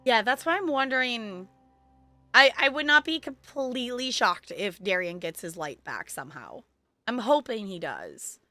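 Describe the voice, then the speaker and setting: A woman streams a game with casual, chatty commentary. Her voice is bright and a little high, with lively swings in pitch. Faint music plays behind her. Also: normal speed, no echo. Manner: animated, chatty. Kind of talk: casual livestream chat and reading game dialogue.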